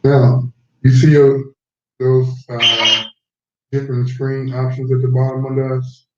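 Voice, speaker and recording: A man's voice: mid-range voice, flat delivery, measured pace, heavy background noise. A man co-hosting a livestream, talking casually and helpfully. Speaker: livestream co-host. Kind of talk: casual chat. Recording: roomy, distant, lo-fi call audio.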